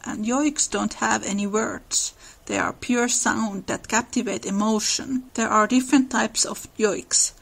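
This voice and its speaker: A woman's voice: fairly high pitch, steady tone, a little slow, creaky, fairly bright tone. A woman with a Finnish accent, hosting a podcast and narrating in an earnest, explanatory way. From Finland. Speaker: podcast host.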